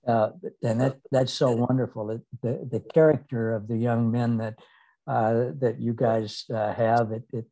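An older American man hosting a casual interview, speaking haltingly and appreciatively into a desk microphone. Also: mid-range voice, level tone, mellow, rough recording. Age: 60s or older. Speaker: interview host. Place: small indoor room on a video call. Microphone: desk microphone with headset.

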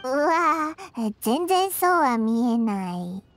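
A woman's voice: high voice, sing-song, slow pace, fairly bright tone, slight background noise, heavily acted. A woman voicing a tiny, cheeky childlike fairy companion in a Japanese video game, blunt and casual. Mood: skeptical.

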